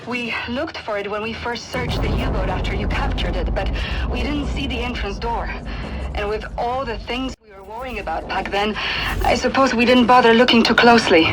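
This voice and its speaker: A woman's voice: fairly high pitch, natural voice, low-quality recording. A woman acting a caring, earnest resistance ally in a video game, giving urgent, concerned briefings. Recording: game audio with music behind.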